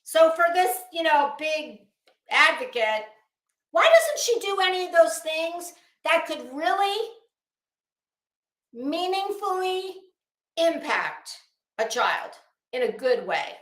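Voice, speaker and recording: High-pitched woman ranting slowly in echoey room. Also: sing-song, fairly bright tone. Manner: emphatic, sarcastic, challenging; blunt, coarse language. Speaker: livestream host. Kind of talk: casual livestream rant to a chat audience.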